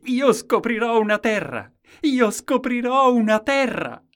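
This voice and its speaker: A man's voice: mid-range voice, very animated, unhurried, darkish tone, pitched above the performer's own voice. A man voicing a meek, candid and eager court fool in a storybook tale, simple and earnest in manner. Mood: excited, exultant.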